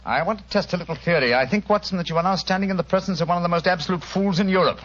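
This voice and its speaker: An actor playing a brisk, confident, theatrical British gentleman detective in an old radio drama. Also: high voice. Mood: eager, excited. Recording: muffled old radio broadcast.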